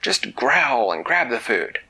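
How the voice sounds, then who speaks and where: A man voicing gruff dragon parents who scold their son loudly in a bedtime story. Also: mid-range voice, sing-song, takes it slowly, exaggerated voice. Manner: loud, bossy scolding.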